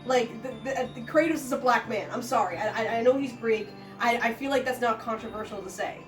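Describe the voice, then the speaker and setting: A bluntly spoken woman on a casual podcast, her voice a touch high and quick with profanity. She sits back from the mic in an echoey room with faint music underneath. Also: distant mic. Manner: fast, blunt, profane, does playful impressions. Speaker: podcast co-host.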